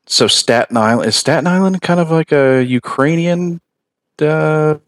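A man's voice: a little high, unhurried, no echo, studio quality. A man hosting a podcast, asking a guest friendly, curious questions in a casual chat. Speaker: podcast host.

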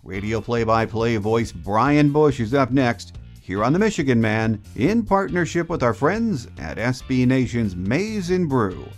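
A man's voice: medium pitch, sing-song, a little slow, few pauses, soft background music. A man with an American accent hosting a sports podcast, delivering commentary and interviewing. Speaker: podcast host.

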